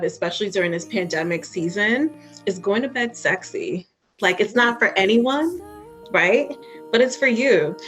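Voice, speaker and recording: A woman's voice: mid-range voice, fairly bright tone. A woman from Honduras whose first language is Spanish, co-hosting a casual chat podcast and often affirming and laughing. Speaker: podcast co-host.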